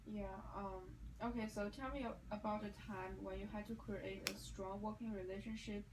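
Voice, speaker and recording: A woman's voice: medium pitch, fairly even intonation, moderate pace. Woman interviewer over background noise. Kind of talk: mock job interview questions. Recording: noisy background.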